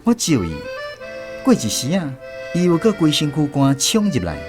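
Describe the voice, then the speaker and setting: Animated, high-voiced male storyteller. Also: normal speed. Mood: reflective. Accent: Taiwanese. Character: male storyteller wryly recalling his army days. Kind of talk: radio drama narration. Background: music.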